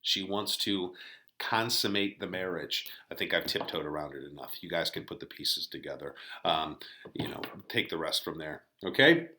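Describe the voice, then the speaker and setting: A man's voice: deep voice, lively intonation, normal speed, pushed and bright. A man teaching in a casual, informal way, commenting on a play and often checking in with listeners. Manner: informal, checks in with "right?" and "okay?".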